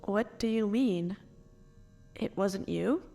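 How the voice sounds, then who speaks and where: An undead stitched-up woman, mid pitch, halting n heartbroken, big echoey reverb, audio drama. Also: vocal fry, warm tone. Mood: wary, questioning. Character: grieving, stitched-together woman brought back from death. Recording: long reverb, music underneath.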